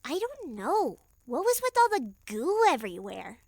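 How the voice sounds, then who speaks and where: A woman playing a timid, excitable little girl camper in a scripted audio drama. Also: sits high, moderate pace, no room sound, studio quality, heavily acted. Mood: puzzled.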